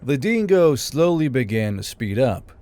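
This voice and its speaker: A man's voice: medium pitch, sing-song, unhurried, a little mellow. A man reading scripted fantasy narration as an audiobook narrator. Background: background music.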